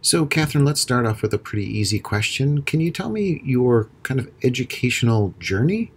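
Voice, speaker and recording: A man's voice: mid-range voice, animated, moderate pace, slightly rough. An American man hosting an interview podcast, chatty and friendly with lots of fillers. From United States. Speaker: podcast host.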